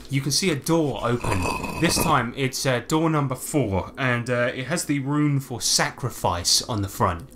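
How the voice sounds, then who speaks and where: An animated man runs a tabletop game as its narrator. His medium-pitched voice moves around a lot, with clear noise behind it. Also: unhurried, hardly any pauses. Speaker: game master. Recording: noticeably noisy home recording.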